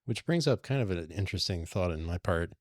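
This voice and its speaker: A man's voice: low-pitched, animated, brisk, no echo, clean studio sound. A man chatting casually as a panelist on a podcast. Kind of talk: casual chat.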